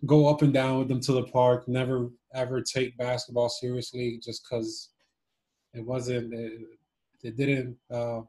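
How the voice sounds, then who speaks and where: A man in his twenties from Cuba and Miami, chatting casually and thoughtfully as an interview guest, pausing as he searches for words. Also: mid-range voice, flat delivery, dark tone. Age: 20s. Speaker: interview guest, former basketball player. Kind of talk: casual reflective interview chat.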